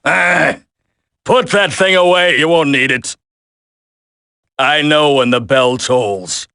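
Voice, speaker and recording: An actor playing a grim, principled terrorist colonel in a video game, speaking in solemn, measured speeches. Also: male voice, a little high, pushed and bright, clear, resonant tone, dry recording, clean studio sound, heavily acted. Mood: solemn, resolute. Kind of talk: video game cutscene acting.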